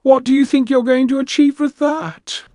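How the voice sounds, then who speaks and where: A shrill, sneering elder cat-alien villain. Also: male voice, steady tone, moderate pace, a little mellow, dry recording, clean studio sound. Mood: gloating menace. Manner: haughty, contemptuous, hissing threats. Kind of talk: audiobook character voice.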